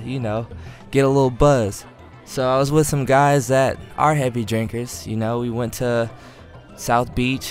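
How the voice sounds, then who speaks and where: Male guest storyteller, casual, animated, self-deprecating, faint music behind. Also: medium pitch. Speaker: cinematographer guest.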